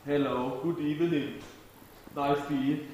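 A man's voice: a little high, steady tone, a little mellow, recorded from a distance. Hesitant young man in an echoey hall. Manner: hesitant, halting, many uh fillers. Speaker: student actor in a skit. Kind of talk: amateur comic skit acting. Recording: very echoey hall, faint noise.

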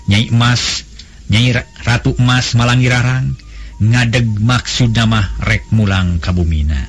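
Husky Sundanese man telling a folk tale. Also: fairly low pitch. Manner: melodic, unhurried storytelling cadence. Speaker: storyteller. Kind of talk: traditional folk tale narration. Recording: faint background music.

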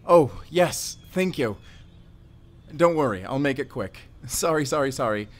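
A mid-pitched man's voice , an actor's, as an awkward, friendly single dad in an audio roleplay. He rambles nervously and apologises often, sounding like a flustered bird-loving neighbour. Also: lively intonation, measured pace, lightly acted. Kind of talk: audio roleplay addressed to the listener.

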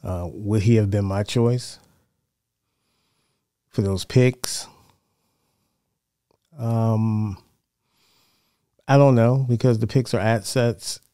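A middle-aged American man giving casual sports commentary in a measured, conversational way, close on a studio mic. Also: mid-range voice, mellow, no echo. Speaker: sports show host. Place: small home studio, indoors. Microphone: close broadcast mic on boom arm.

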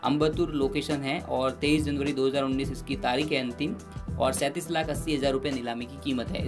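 Male news presenter, higher-pitched, casual conversational read over background music. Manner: conversational, with many fillers and restarts.

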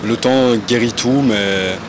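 A young man in his twenties with a Belgian French accent, answering calmly outdoors into a handheld mic. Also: mid-range voice, slow pace. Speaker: street interviewee. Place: outdoors on a city street. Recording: street traffic noise.

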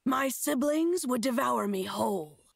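Husky, creaky feral huntress. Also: female voice, a little high, lively intonation, no echo, studio quality, heavily acted. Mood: grave, measured. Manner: slow, cryptic, solemn speech. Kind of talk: fantasy game character acting.

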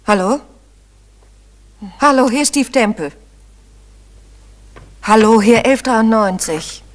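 Female phone role: higher-pitched, slow delivery, old crime radio play. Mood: calling out. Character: woman calling into a telephone. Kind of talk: German crime radio play acting, circa 1970.

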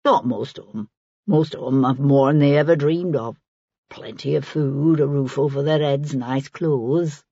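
Woman doing a husky old charwoman, blunt and shrewd, low voice, kinda dull audio, storybook. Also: measured pace, dark tone, no echo, heavily acted. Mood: scornful. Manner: blunt, scornful, folksy working-class speech.

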